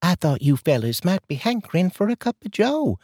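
A man's voice: high-pitched, big pitch swings, a little fast, darkish tone, husky, dry recording, clean studio sound, voice pushed up, full character voice. A man voicing a chatty, kooky neighbor wife with a put-on Southern drawl and folksy talk. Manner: folksy, talks your ear off.

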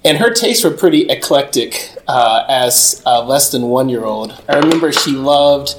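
A man's voice: medium pitch, animated, moderate pace, noisy background. A middle-aged man, a guest musician telling stories in casual conversation. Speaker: guitarist in a band, podcast guest. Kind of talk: casual storytelling chat. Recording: roomy, echoey sound.

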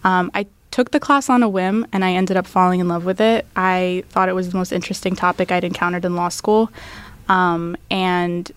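Lowish-voiced young woman, thoughtful interviewee. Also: steady tone, normal speed, smooth, no room sound. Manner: thoughtful, with frequent ums and soft laughs. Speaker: law student guest. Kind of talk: interview answers.

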